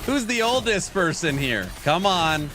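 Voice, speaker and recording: A man's voice: sits high, very animated, a little slow, sharp tone, slight background noise. A man in his late thirties from California, streaming a game and joking around as he reads viewer chat aloud. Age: late 30s. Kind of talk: casual livestream chat while gaming.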